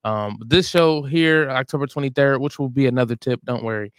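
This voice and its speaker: Smooth-voiced American man, casual and rambling. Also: medium pitch, a little fast, no echo. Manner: informal, rambling. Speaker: show regular and stand-up comedian. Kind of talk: casual chat, plugging shows.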